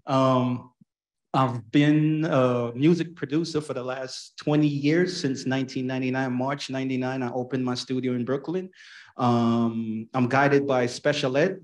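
A man who is a music producer, speaking on a panel into a handheld mic in a large event space, relaxed and folksy, addressing the crowd as y'all. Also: mid-range voice. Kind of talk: panel talk.

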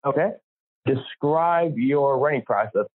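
A man's voice: mid-range voice, lively intonation, unhurried, narrow, tinny audio, slight background noise. A man hosting a podcast, putting interview questions to a guest.

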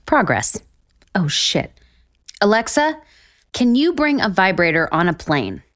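An actress playing an overwhelmed, sarcastic, foul-mouthed author in an audio romantic comedy. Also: female voice, medium pitch, sing-song, unhurried, a bit bright. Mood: flustered. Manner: sarcastic, exasperated.

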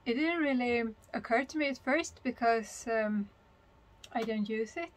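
Higher-voiced woman vlogging. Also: unhurried. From Sweden. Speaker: sailing vlogger. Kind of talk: casual explanatory chat to camera. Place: small sailboat, in cabin and covered cockpit, and outdoors at a marina.

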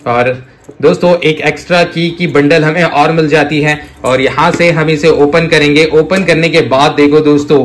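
A man chatting casually as a toy review host, effusive, piling up superlatives, mixing in English words and addressing viewers as friends. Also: fairly high pitch.

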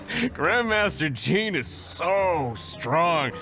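An actor voicing a carefree drunk knight in a video game, slurred and drawling with a giggly manner. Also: male voice, high-pitched, big pitch swings, extremely slow, exaggerated voice. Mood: merry, drunk. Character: tipsy, carefree male knight drinking in a tavern. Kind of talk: video game dialogue acting. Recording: music playing behind the voice.

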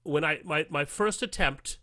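A man's voice: a little high, measured pace, sharp tone, no room sound, clean studio sound. A man speaking as an interview guest, explaining his process casually, step by step. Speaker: podcast guest, audio drama adapter.

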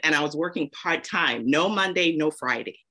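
A woman's voice: deep voice, lively intonation, normal speed, pushed and bright. A woman from Zambia, a podcast guest, telling her story casually and laughing often.

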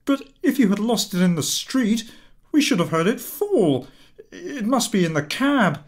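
A man's voice: high voice, very animated, measured pace, voice pushed up. A man voicing a bewildered, fussing husband, a modest clerk, in an urgent, questioning way for a story reading. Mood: anxious, probing.

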